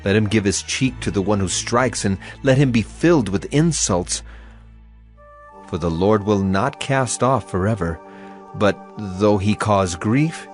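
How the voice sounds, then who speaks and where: An actor playing a grieving, afflicted prophet in a dramatized scripture reading, with solemn, emphatic and deliberate phrasing. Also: male voice, on the low side. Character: grieving, afflicted male prophet lamenting and praying.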